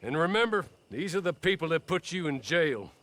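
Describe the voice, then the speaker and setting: An actor playing a formal, persuasive, scheming fixer in a Western game. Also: male voice, a little high, very animated, normal speed, a bit bright. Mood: brisk, wry. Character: smooth-talking, scheming gentleman fixer, man. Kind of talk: game cutscene acting.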